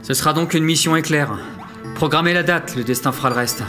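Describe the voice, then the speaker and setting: An actor playing a bumbling, forgetful captain in a comic audio drama, with a hesitant, self-correcting manner. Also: male voice, fairly high pitch, brisk, natural voice. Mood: explanatory, official. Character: bumbling, forgetful male captain of a time mission. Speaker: captain of a time-travel mission. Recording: music behind the voice.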